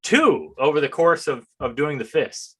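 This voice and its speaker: A man's voice: a little high, very animated, unhurried, breathy edge. A man hosting a casual interview in a chatty, self-deprecating, joking way. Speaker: interviewer, host and comics writer.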